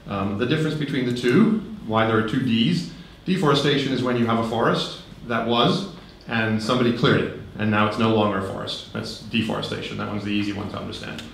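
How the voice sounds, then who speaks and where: A man giving a relaxed conference talk, conversational, with frequent ums and light humor, into a handheld microphone. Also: on the low side, fairly quick, vocal fry, few pauses, slight background noise. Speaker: conference speaker. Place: large conference hall. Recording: very echoey hall.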